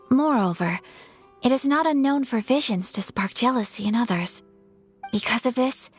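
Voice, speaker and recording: A woman voicing a composed young noblewoman in a video game, speaking in a formal, measured and polite manner. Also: a little high, breathy edge. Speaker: noble of a ceremonial commission.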